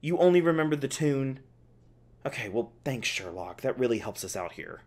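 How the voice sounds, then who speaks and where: An animated, snarky scientist held captive, played by a roleplay performer, with a mid-pitched voice that swings widely. The delivery is testy and sarcastic, in casual, sweary speech made for a one-sided audio drama. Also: normal speed. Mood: wry, puzzled.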